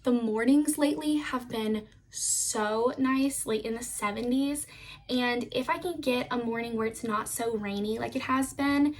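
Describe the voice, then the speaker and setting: A young woman vlogging casually at home, her voice a little raspy and froggy, rambling and relaxed with lots of "like.". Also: fairly high pitch, unhurried, slight background noise, poor audio. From Florida. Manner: relaxed, rambling, uses "like" often. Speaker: vlogger, stay-at-home mom. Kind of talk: casual chatty vlog narration.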